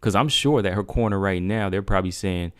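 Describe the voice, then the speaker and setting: A man's voice: low voice, fairly quick, no room sound, studio quality. A man hosting a casual MMA livestream, asking his viewers rhetorical questions. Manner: talks straight to viewers, asks rhetorical questions. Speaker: MMA talk show host.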